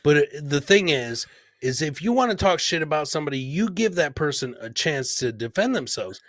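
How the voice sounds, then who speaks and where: Blunt man hosting, higher-pitched, dry sound. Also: very animated, moderate pace, a bit bright, dry recording. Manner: blunt, profane, emphatic and repetitive; chummy, peppers talk with "man" and "brother". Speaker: podcast host. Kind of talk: casual livestream chat.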